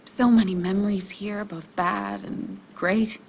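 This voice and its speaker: Young woman, emotionally raw, determined, halting delivery, naturalistic game drama, medium pitch, light ambient noise. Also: very animated. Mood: wistful, hurt. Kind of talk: naturalistic video game acting.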